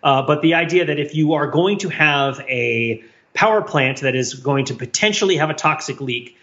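Bright, high-pitched man rambling on a podcast. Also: normal speed, dull audio. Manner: rambling, self-questioning, wryly animated argument. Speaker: podcast co-host. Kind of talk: casual podcast discussion.

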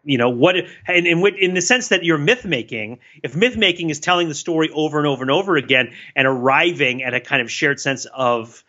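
A man co-hosting a podcast, thinking out loud in casual analytical talk, rambling with restarts and laughs. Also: on the high side, a little fast, hardly any pauses. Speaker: podcast co-host. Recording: dull lo-fi sound.

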